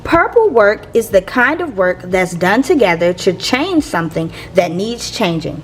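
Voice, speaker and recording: A woman reading a picture book aloud to young listeners in a playful, expressive teacher's manner. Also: mid-range voice, a little slow, slight background noise. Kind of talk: expressive children's read-aloud.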